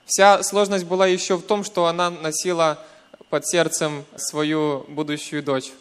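A young man from western Ukraine with a Ukrainian accent giving a personal testimony in church, in short hesitant segments with pauses. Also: on the high side, flat delivery, smooth. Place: church, speaking to a congregation.

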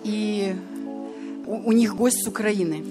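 A woman's voice: medium pitch, unhurried. A woman introducing a guest to a congregation, speaking in short phrases. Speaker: church member introducing a guest. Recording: long hall reverb, music behind.

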